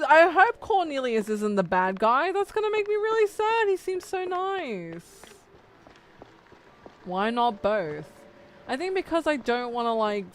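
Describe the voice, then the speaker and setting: A woman streamer chatting casually with her viewers while playing a game, chatty and animated. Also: fairly high pitch, a little hiss or hum. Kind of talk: casual gaming livestream chat.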